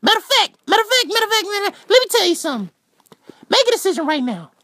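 A woman's voice: very high-pitched, sing-song, racing, a bit bright, no room sound, clean studio sound, heavily acted. A woman voicing a brash, loyal kid who talks in combative, repetitive slang. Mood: fired-up.

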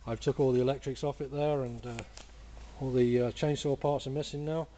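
A man casually explaining a workshop build in a relaxed, plain-spoken way, full of "uh" and "basically", filmed up close on a camera mic at a cluttered bench. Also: mid-range voice, fairly quick, lo-fi sound, a little hiss or hum. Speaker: hobby engineer demonstrating a build.

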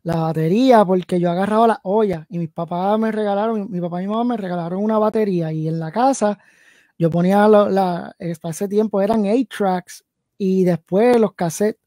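A man in his late thirties from Connecticut talks through a video call from his home studio. His voice is mellow and dark, and the sound is dry and close. He rambles, pausing to think aloud with fillers. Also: high-pitched, no room sound. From New Haven, Connecticut, USA. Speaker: guest musician and producer. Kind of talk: casual interview chat. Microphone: headset and video call.

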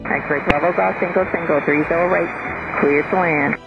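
A man's voice: on the high side, fairly even intonation, normal speed, creaky. A man working as an air traffic controller, giving firm, procedural and direct instructions over aviation radio. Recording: tinny narrowband radio transmission, music behind. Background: background music.

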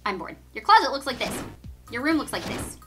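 A woman delivers a scripted explainer in a bright, high voice that swoops up and down. Her delivery is bouncy and exclamatory. An upbeat music bed runs clearly underneath her. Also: very animated, brisk. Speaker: YouTube explainer presenter.

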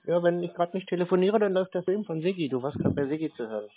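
A man chatting casually on the phone as a prank caller, with a deadpan, naive-sounding manner. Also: high voice, rapid-fire, husky, no room sound. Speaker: host, scam-baiting prank caller. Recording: noisy narrow phone-like sound with clicks.

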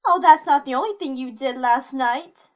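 A brash, flirty female monster in a fan audio drama, played by a woman. She talks in a teasing, provocative drawl, in a very high register. The voice sounds distinct and unusual. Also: moderate pace, natural voice.

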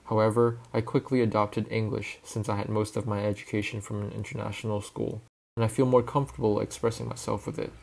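A young man from Hong Kong, a native Cantonese speaker, calmly narrating a reflective personal voice-over in English. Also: fairly low pitch, gravelly. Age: young adult. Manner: calm, reflective, measured. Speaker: student filmmaker.

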